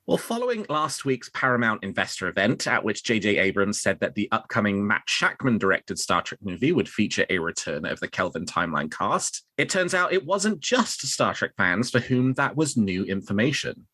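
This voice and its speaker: A man's voice: lowish voice, big pitch swings, moderate pace, hardly any pauses, pushed and bright, dry recording. A man presenting news as a podcast host in an articulate, presenterly way, reading prepared copy and discussing it. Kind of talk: scripted news narration and discussion.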